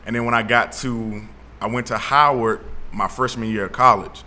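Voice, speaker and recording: A young man from Atlanta, telling his story as an interview guest in a relaxed way with plenty of fillers. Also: mid-range voice, normal speed. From Atlanta, Georgia. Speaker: guest, founder of an initiative. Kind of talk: casual interview talk.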